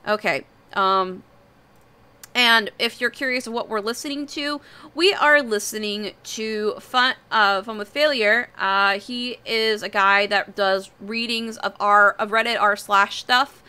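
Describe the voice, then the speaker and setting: A woman with a very bright, forward voice hosts a casual livestream. She rambles in an off-the-cuff, self-deprecating way and swears freely as she chats. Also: medium pitch, steady tone, a little slow, clear, resonant tone. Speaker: livestream host. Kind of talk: casual livestream chat while drawing.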